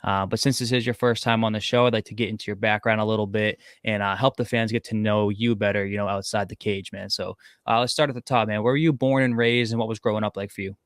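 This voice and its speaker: A male host rattles through his questions at a brisk clip, keeping his pitch low and nearly flat. His voice is smooth and clear, and the sound is close and dry with no room echo. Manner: quick, casual, calls guest "man" often. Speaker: podcast host. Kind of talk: interview hosting.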